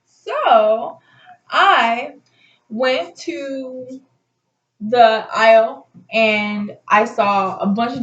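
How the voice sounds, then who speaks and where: A woman's voice: on the high side, fairly bright tone. A woman telling a personal story in a casual, rambling, conversational way, like a vlogger chatting to her viewers. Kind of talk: casual storytime chat to camera. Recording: roomy echo, slightly dull lo-fi sound.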